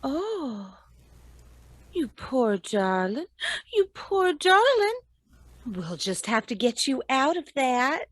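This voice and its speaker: A clingy, gushing sweetheart played by a woman coos in a very high voice. She drawls slowly and coaxes with syrupy, theatrical affection, radio-play style. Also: sing-song, a bit bright, exaggerated voice. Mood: gushing, pitying. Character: gushing, clingy, cooing old flame of a married man. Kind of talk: old-time radio drama acting.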